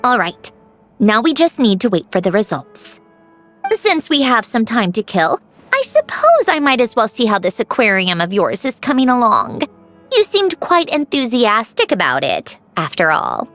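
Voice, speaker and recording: A woman playing an aloof, proud young woman in an anime-style video game, with a brisk, cool, skeptical manner. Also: a little high, wildly swooping pitch, fairly bright tone, faint music behind. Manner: clipped, cool, dismissive.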